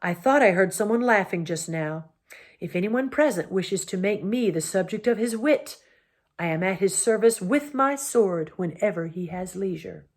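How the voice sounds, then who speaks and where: A woman's voice: medium pitch, expressive, moderate pace. A woman voicing a tiny, proud male mouse knight who speaks shrilly and with courtly formality. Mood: dignified. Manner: courtly, formal, touchy about honor.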